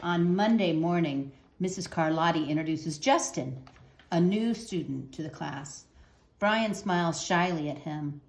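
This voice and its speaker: A woman's voice: fairly low pitch, lively intonation, a little slow, darkish tone. Echoey woman reading a children's storybook aloud. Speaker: children's book reader. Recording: roomy, echoing sound.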